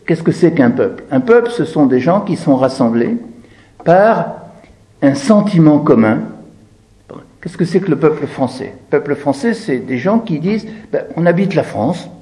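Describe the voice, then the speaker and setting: Man lecturing in a lively, swooping voice. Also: a little high, rough recording. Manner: didactic, asks rhetorical questions and answers them, repeats key phrases for emphasis. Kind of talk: explanatory talk, commenting on quoted text.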